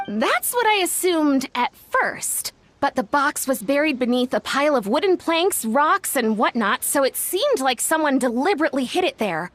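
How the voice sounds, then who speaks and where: A woman's voice: high voice, big pitch swings, moderate pace, hardly any pauses, fairly bright tone, dry recording. A woman voicing a chatty, modest young female staffer in a video game, with a slightly bashful manner. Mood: earnest.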